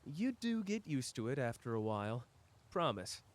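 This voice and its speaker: A man acts out a powerful male sorcerer with a cocky surface and a wounded core. He speaks at a middling male pitch in an intimate audio drama, casual and conversational. His teasing delivery is aimed straight at one listener, with pauses between thoughts. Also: sing-song, lightly acted. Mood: calm, serious.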